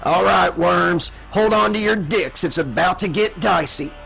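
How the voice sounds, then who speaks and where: A man voicing a gruff, grizzled, foul-mouthed starship pilot who shouts urgently in an audiobook story. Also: high-pitched, a little slow, soft background music, full character voice, rough recording. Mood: gruff warning.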